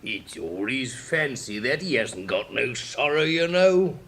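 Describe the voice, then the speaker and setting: A man voicing a lazy, uneducated griffin from a storybook, with a gravelly voice and folksy, dismissive manner. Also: mid-range voice, very animated, unhurried, fairly bright tone, slight background noise. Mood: amused scoffing.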